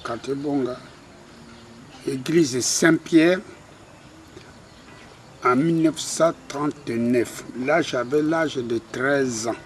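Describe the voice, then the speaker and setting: An older man with a Congolese French accent recounting his life in an interview, halting and modest. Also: medium pitch. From Republic of the Congo. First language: a Congolese language. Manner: slow, halting, self-deprecating. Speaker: interviewee, retired cabinetmaker. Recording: faint background noise.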